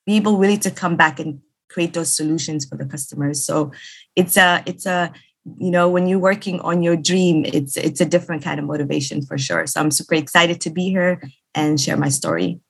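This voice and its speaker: Woman from Somalia, husky low voice, eager panelist. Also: fairly even intonation, brisk. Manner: eager, warm, many hesitations. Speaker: business executive, panelist. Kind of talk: self-introduction on a panel.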